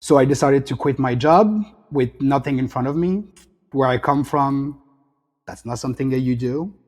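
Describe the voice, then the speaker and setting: A man's voice: medium pitch, normal speed, darkish tone, big-room sound. A middle-aged man from the south of France with a French accent, speaking reflectively as an interview guest over a video call. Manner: reflective, gesturing hands while talking. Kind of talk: interview conversation. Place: home room.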